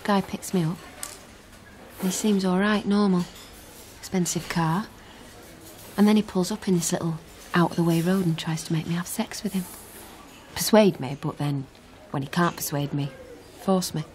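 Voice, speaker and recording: An actress playing a guarded, defiant young con artist in a naturalistic radio drama. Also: female voice, on the low side, fairly even intonation, moderate pace, darkish tone, a little hiss or hum, natural voice. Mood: confessional. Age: young adult.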